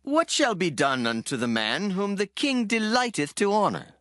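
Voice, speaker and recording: A woman's voice: mid-range voice, moderate pace, no room sound. A woman voicing a commanding ancient king in a dramatized scripture recording, stately and authoritative. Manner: stately, commanding, very animated intonation. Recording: dry close studio voice.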